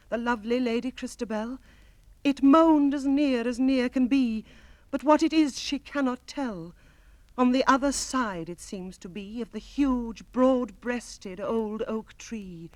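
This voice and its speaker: A woman giving a theatrical recitation of gothic narrative verse, telling it as a story that moves between hushed and ringing delivery. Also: fairly high pitch, no room sound. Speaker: poetry reader. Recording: close, dry 1960s spoken-word record.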